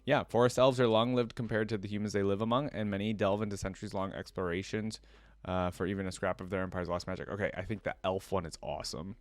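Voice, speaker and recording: A man's voice: lowish voice, steady tone, quick pace, dry recording. A man talking casually and enthusiastically as a reaction video host, commenting and reading text aloud.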